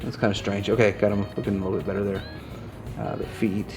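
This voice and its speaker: A man's voice: fairly low pitch, little pitch movement, fast, poor audio. A man presenting an unscripted product review, rambling in an easygoing way as he thinks aloud while handling items, with music behind him.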